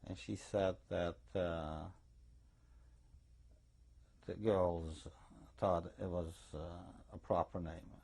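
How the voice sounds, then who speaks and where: An older man from eastern Czechoslovakia with an Eastern European accent, giving recorded testimony as an interviewee in a deliberate, anecdotal way. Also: low voice, fairly even intonation, vocal fry, dark tone. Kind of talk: recorded oral history testimony.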